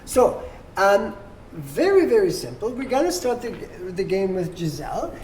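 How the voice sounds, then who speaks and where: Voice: medium pitch, very animated, moderate pace, darkish tone. Someone hosting a board game lesson, patient n instructive, bit of room noise behind. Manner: patient, instructive, checks understanding. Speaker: host teaching board game rules. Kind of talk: explanatory walkthrough to players at a table. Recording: noisy room with background ambience.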